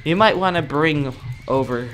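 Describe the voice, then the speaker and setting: A young man improvising in-character gameplay roleplay in a cocky, dramatic, excitable manner. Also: fairly high pitch, normal speed, soft background music. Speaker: gaming roleplay video creator.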